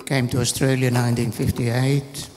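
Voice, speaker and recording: An older man, briefly introducing himself on a panel, halting with fillers. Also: mid-range voice, little pitch movement, darkish tone, a little hiss or hum. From Greece. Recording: echoey hall.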